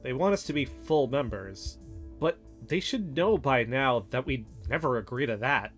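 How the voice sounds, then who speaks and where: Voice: mid-range voice, expressive, moderate pace. A voice in scripted audio drama dialogue, wry and conspiratorial, lifting up when spinning a theory. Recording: music bed underneath.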